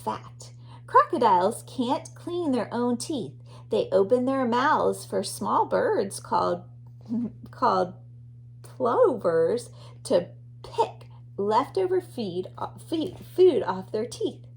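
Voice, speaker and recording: A woman's voice: a little high, sing-song, slow, creaky, a little mellow. A woman teacher reading a children's nonfiction book aloud expressively, addressing young listeners.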